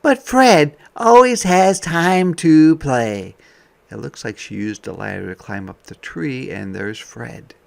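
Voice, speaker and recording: A man reading a picture book aloud to young children as a teacher, expressive, with softer conversational asides. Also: medium pitch, slow, vocal fry.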